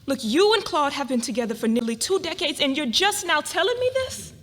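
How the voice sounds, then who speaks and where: Woman acting a high-voiced, distraught wife. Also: animated, a little fast, a bit bright. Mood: incredulous. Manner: exclamatory, pleading, incredulous. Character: shocked, distraught churchgoing wife and mother. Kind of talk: dramatic reading.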